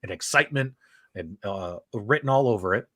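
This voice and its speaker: A man's voice: lowish voice, expressive, a little fast, fairly bright tone, dry recording. An enthusiastic man hosts a casual livestream chat. He repeats phrases for emphasis, and his voice sounds dry and close, with very little room around it. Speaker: host of a video game talk show.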